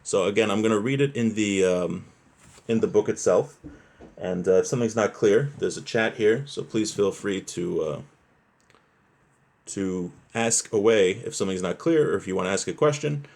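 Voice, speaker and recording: Low-voiced man teaching religious law. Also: normal speed, creaky, a little mellow. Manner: explanatory, uses Hebrew terms and phrases. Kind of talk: informal lesson, reading a text aloud and explaining.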